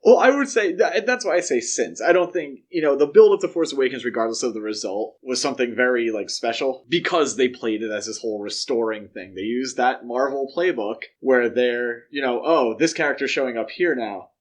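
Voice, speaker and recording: A man hosting a podcast in casual, opinionated discussion, talking in a conversational way with measured, hedged opinions. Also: medium pitch. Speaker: podcast host.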